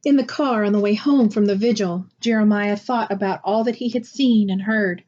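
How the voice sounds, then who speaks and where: A woman's voice: medium pitch, normal speed, a little mellow, highs cut off. A woman reading scripted picture book narration aloud. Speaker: storybook reader.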